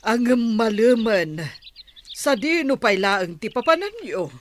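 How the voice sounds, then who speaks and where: An actress in an Ilocano radio drama playing a worried village mother, gently chiding and cautioning. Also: fairly high pitch, big pitch swings, slow, slight background noise.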